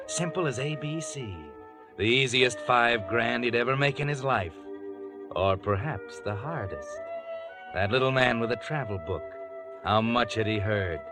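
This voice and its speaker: A man's voice: on the low side, natural voice. Man as an ominous radio mystery narrator over music. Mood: brooding. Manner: brooding, insistent, addresses the character directly. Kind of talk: 1940s radio drama narration. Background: dramatic music.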